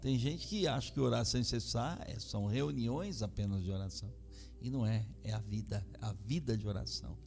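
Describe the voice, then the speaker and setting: A man's voice: mid-range voice, brisk, gravelly, a little mellow, faint music behind. A man hosting as a pastor and interviewer, often interjecting agreement and asking his guest questions. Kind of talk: conversational interview.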